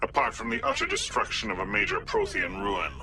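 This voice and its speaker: An actor playing a haughty, suspicious alien councilor in a video game, scornful and sarcastic in manner. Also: male voice, on the low side, huge pitch swings, brisk, sharp tone, heavily acted. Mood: accusing. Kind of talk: video game dialogue acting.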